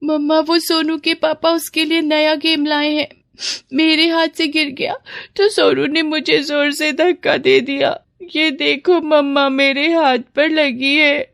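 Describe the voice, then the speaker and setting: A woman's voice: sits high, level tone, a little slow, gravelly, a bit bright, no room sound, studio quality, exaggerated voice. A woman voicing a hurt little schoolgirl who complains in a whining, childlike way. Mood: tearful, complaining.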